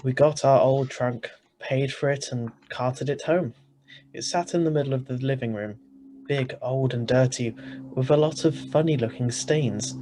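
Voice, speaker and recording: A man's voice: medium pitch, moderate pace, mellow, a little hiss or hum, close to an everyday voice. A man playing a skeptical, exasperated husband who also narrates, reading a radio drama script in a wry, sighing manner. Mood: wry narration. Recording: live video-call recording.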